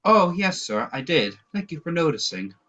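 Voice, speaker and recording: A man's voice: on the high side, sing-song, normal speed, a bit bright. An actor playing a timid, deferential male underling in an audio play, recorded with a slightly muffled sound. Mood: meek, grateful.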